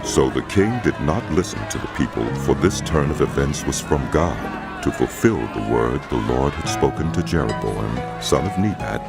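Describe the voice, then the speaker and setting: A man narrating scripture as a scripted drama, measured and solemn, with music behind his voice. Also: extremely low pitch, animated. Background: loud music.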